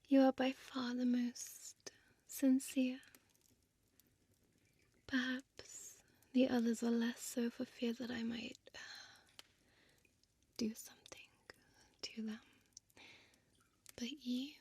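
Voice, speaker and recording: A woman playing a seductive, possessive female vampire in an intimate whispered audio roleplay, with slow, deliberate, teasing formal speech, close to the microphone. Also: fairly high pitch, mellow, no room sound, full character voice. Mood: soft, intrigued. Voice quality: breathy whisper. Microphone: close dry studio microphone.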